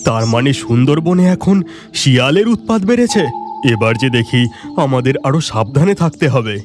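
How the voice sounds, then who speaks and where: A concerned village fisherman played by a man in a cartoon, with a medium-pitched voice. He talks in an earnest, plain way, and music clearly plays underneath. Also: lively intonation, normal speed, close to an everyday voice. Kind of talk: Bengali cartoon voice acting.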